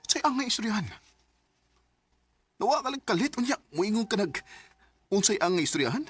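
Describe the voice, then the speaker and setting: A man's voice: high voice, very animated, fairly quick, fairly bright tone, husky, no echo, faint music behind, heavily acted. A man acting a hurt, devoted young man in love in an emotional radio drama, earnest and intense. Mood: hurt, agitated.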